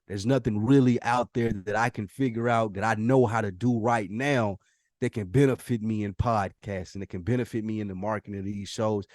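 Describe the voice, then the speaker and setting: A man speaks as a podcast co-host in an easygoing conversational style. His voice sits a little low, and he talks candidly in loose, slangy phrases. The recording is dry, with very little room around him. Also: darkish tone, no echo. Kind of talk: casual chat.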